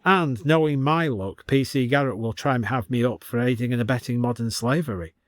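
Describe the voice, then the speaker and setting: A man's voice: medium pitch, brisk, no room sound, studio quality, close to an everyday voice. A man voicing a good-natured, impulsive garden centre man with casual salesman's patter in an audiobook. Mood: exasperated.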